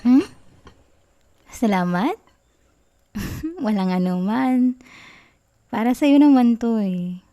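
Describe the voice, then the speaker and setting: A woman with a Filipino accent playing a sweet, bashful, doting girlfriend in an affectionate ASMR roleplay, talking softly and coyly in a giggly, tender way. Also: fairly high pitch, animated, slow pace, pauses often. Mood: happy, caring. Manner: soft, giggly, coy, tender.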